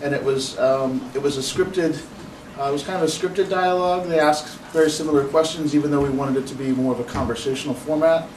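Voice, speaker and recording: A man presenting research at a conference panel, steady and explanatory with fillers. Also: on the high side, a little fast, hardly any pauses, a little hiss or hum. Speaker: residential education staff presenter. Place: classroom. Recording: echoey classroom, distant mic.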